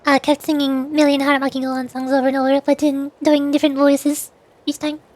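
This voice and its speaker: A woman's voice: high-pitched, little pitch movement, a little fast, darkish tone, dry recording. A woman chatting casually on a livestream, rambling, repeating and correcting herself as she talks about voice acting. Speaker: streamer and amateur voice actor.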